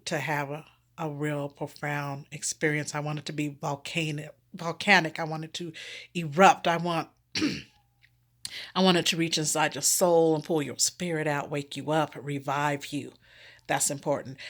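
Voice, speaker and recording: A woman author speaking candidly and informally to an audience, expressive and emphatic, repeating key words for effect. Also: deep voice, a little slow. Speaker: erotic romance author promoting their own book.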